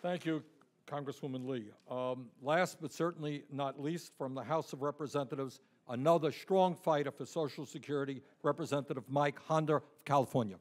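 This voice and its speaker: A man introducing speakers at a rally in a measured, formal way. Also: on the high side, a little hiss or hum. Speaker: event emcee introducing speakers.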